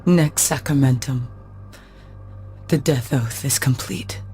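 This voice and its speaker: An actress voicing a fierce, wronged angel warrior in a video game, intense and accusing. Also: female voice, rumbling low, takes it slowly, mellow. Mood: bitter accusing. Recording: music behind the voice. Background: music.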